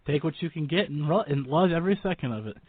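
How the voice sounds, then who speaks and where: A man's voice: on the high side, expressive, quick pace, slightly rough, no echo. A man hosting a radio show, interviewing in a casual, chatty way. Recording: dry but narrow lo-fi audio.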